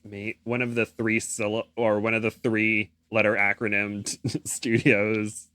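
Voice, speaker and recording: A man co-hosting a casual podcast, jokey and rambling, often halting and correcting himself mid-sentence. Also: fairly low pitch, monotone, pushed and bright, no room sound, clean studio sound. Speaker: podcast co-host.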